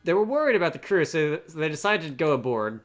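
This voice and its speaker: A man voicing a chirpy, earnest young adventurer from a video game, with an eager, animated way of telling things. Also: a little high, quick pace, soft background music. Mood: eager storytelling.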